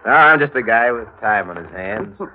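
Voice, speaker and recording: A muffled old radio broadcast with faint music behind it. a hardboiled, scheming man with a low voice, delivering terse, slangy noir lines in 1940s style. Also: lively intonation, moderate pace. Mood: flirtatious. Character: hardboiled, scheming male drifter narrating his crime.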